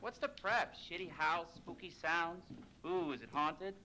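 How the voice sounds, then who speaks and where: Casting: cocky, cynical TV presenter in a horror game, slightly higher voice, bright edge. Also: male voice, a little slow, slight background noise, rough recording. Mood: sarcastic. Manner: sarcastic, swaggering. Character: cocky jaded male TV show host. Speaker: game character. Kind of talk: video game cutscene dialogue.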